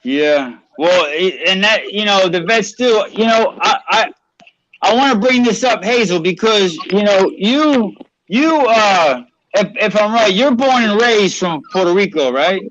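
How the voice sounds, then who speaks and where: A male host with a high voice speaks haltingly, restarting his sentences as he steers the talk. The audio is dry and dull. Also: expressive, fairly bright tone, no room sound. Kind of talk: casual panel talk.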